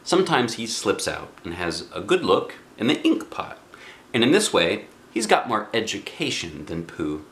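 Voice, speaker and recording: A man reading a children's book aloud in an expressive way, speaking directly to young listeners. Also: lowish voice, moderate pace, echoey room. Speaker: storybook reader.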